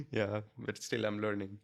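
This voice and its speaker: A man's voice: fairly low pitch, very animated, takes it slowly, gravelly. A young man from India with an Indian accent, chatting in an interview in English, his second language, with many fillers and restarts. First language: not English. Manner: hesitant, many fillers and restarts, laughs easily. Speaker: guest, international student. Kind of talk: casual interview chat.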